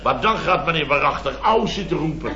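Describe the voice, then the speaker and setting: Male actor as exasperated building boss, 1970s radio comedy, slightly high, indignant storytelling, echoing room. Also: animated, fairly quick, crowd noise, natural voice. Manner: indignant, blustery anecdote telling with mimicked quotes. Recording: muffled old radio recording, roomy, studio audience.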